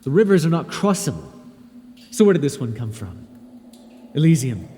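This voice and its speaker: A man's voice: on the high side, big pitch swings, normal speed, dark tone, long reverb tail, a little hiss or hum. A man voicing an irritable male god who rules the underworld, speaking in a haughty, scolding way with dry sarcasm, as an audio roleplay. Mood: stern.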